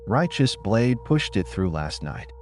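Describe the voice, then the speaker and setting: A man's voice: fairly low pitch, very animated, measured pace, a little hiss or hum. A man voicing a teasing, casual female lab technician in an audiobook. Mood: casual, reassuring. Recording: music behind the voice.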